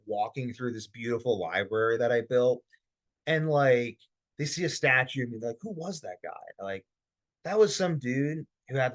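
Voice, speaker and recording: A man hosting a casual webinar, talking in a rambling way with lots of fillers. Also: mid-range voice. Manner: rambling, filler-heavy, animated. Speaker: webinar host, insomnia coach. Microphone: computer mic on video call.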